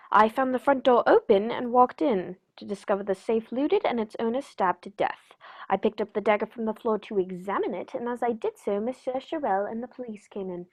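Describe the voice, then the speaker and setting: A woman's voice: a little high, darkish tone, natural voice, low-quality recording. A woman playing a composed, courteous English detective in a 1940s radio mystery, speaking precisely and formally.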